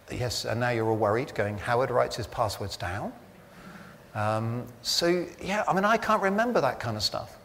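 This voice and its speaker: Husky preacher man with echoing reverb. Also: a little high, fairly bright tone. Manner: chatty, self-deprecating, joking asides. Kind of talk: conversational sermon. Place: church service hall. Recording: long hall reverb.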